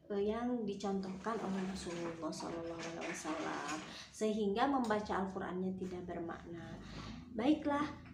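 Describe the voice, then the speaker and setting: Woman teacher, slow demonstrations, echoey. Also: a little high, slight background noise. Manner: patient, repeats sounds slowly to demonstrate. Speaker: Quran teacher. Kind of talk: recorded lesson for children. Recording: echoey room with faint ambience.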